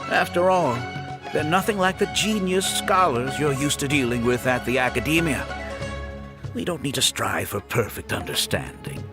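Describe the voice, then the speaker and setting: A man's voice: medium pitch, lively intonation, normal speed, natural voice. Man playing a smug, scheming architect, theatrically lilting. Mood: mock-polite. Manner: smooth, condescending, self-justifying. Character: smug, scheming male architect running a scam academy. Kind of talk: theatrical video game voice acting. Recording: game audio with background music.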